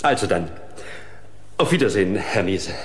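An actor playing a polite, bookish museum visitor, courteous and precise, in a German radio crime play. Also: male voice, low-pitched, lively intonation, normal speed, background noise. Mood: courteous, hesitant.

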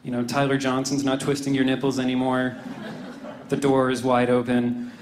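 A man's voice: medium pitch, monotone, moderate pace, roomy sound. A man in his twenties performing a self-deprecating stand-up set on a club stage with a handheld mic, audience laughter between lines. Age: 20s. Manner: dry, self-deprecating, with lots of "you know" and "I don't know". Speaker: stand-up comedian. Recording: live club recording with audible crowd noise.

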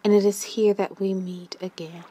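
A woman leading a guided meditation in a gentle, soothing manner, with long pauses and breathy phrase endings that trail away. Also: mid-range voice, little pitch movement.

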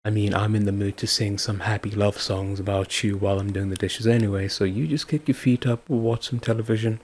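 A man playing a devoted, affectionate husband in an intimate audio roleplay, with a husky voice. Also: lowish voice, steady tone, fairly quick. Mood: playful, loving. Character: devoted, affectionate husband, playful then anxiously caring. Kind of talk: intimate ASMR boyfriend-style roleplay acting.

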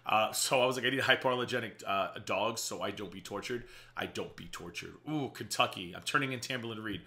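A man in his thirties talks quickly and sarcastically through a reaction video, his voice bright with a slight rasp. He sits at a desk in a small home office with earbuds in. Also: lowish voice. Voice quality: slightly raspy from a strained voice. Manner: chatty and sarcastic, quick asides. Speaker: reaction channel host. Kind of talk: casual reaction commentary.

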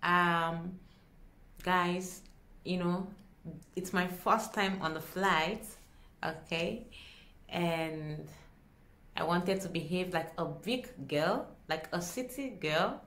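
A young woman with a Nigerian accent tells a story to camera in a dramatic, expressive way, acting out dialogue as she goes. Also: lowish voice, slow pace, pauses often. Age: young adult. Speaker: vlogger. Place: small indoor room at home. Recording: echoey small room.

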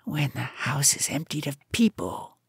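A scheming cobra queen from a storybook, acted out by a narrator, husky and creaky. She is commanding and conspiratorial, laying out plans to her mate. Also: mid-range voice, very animated, unhurried, no echo, studio quality, heavily acted. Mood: plotting.

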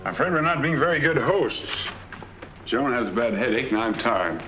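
An actor playing a middle-aged, wealthy American husband, genial with a sly menace, in 1940s film noir style. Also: male voice, mid-range voice, normal speed, a bit bright, lightly acted. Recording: 1940s film soundtrack with background music.

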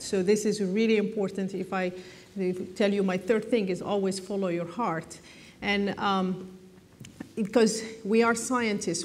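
A middle-aged woman from Morocco speaking on a conference panel, earnest and encouraging, often restarting her phrases. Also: fairly low pitch. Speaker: panelist, biotech executive. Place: large hall, conference stage. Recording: long hall reverb.

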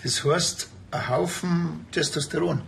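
An actor with a Bavarian accent playing a dry, grumbling small-town policeman in a deadpan manner. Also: on the high side, unhurried, creaky, slight background noise. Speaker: actor in a TV crime comedy.

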